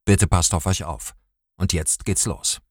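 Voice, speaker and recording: A male announcer with a very deep voice delivers a scripted notice. The sound is dry, with no room on it. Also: fairly even intonation, unhurried, husky, dry recording. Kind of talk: scripted content warning.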